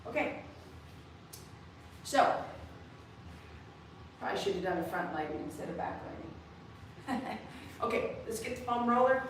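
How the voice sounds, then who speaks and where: A woman coaching a live mobility class, slightly raspy after a race, guiding each move step by step. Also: mid-range voice, slight background noise. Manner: relaxed, guiding students step by step. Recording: echoey room, far from the mic.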